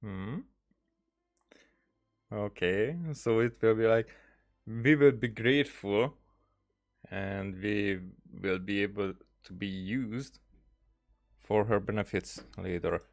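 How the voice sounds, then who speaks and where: A man giving casual gameplay commentary, thinking aloud in a halting way and often repeating words. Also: lowish voice, steady tone, creaky. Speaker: game streamer.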